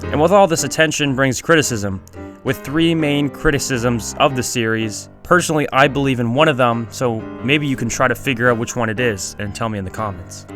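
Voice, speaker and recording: A man's voice: mid-range voice, a little fast, fairly bright tone. A man hosting a video essay, reading scripted narration. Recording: music behind the voice.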